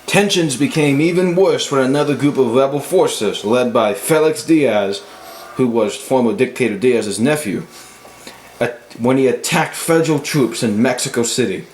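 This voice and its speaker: A man's voice: medium pitch, normal speed, darkish tone. A man working as narrator, reading a scripted amateur history account. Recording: echoey room with faint noise.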